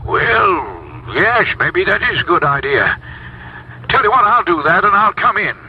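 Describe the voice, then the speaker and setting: An actor playing a kindly, attentive, slightly fussy doctor in a 1970s radio drama. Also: male voice, sits high, sing-song, measured pace, exaggerated voice. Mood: reassuring. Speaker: doctor character. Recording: muffled old radio broadcast with faint noise.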